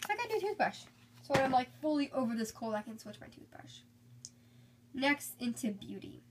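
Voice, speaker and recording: Chatty young woman, slightly higher voice. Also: sing-song, brisk, husky. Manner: chatty, upbeat, with frequent fillers. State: recovering from a cold, slightly congested. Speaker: haul vlogger. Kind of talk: casual haul video chat. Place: indoors at home, filming items on a bed.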